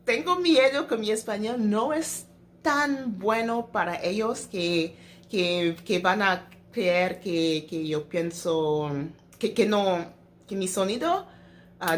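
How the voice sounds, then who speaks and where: Young woman vlogger, native English speaker, slow and hesitant, slightly low voice, faint background noise. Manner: hesitant, lots of fillers and restarts. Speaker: travel vlogger. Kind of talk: casual talk to camera.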